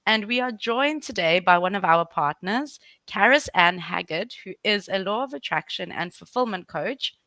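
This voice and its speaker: A woman's voice: mid-range voice, gravelly, pushed and bright, dry recording. A woman hosting a show, opening with a polished introduction and then asking interview questions. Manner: upbeat, polished presenter delivery. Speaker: podcast host.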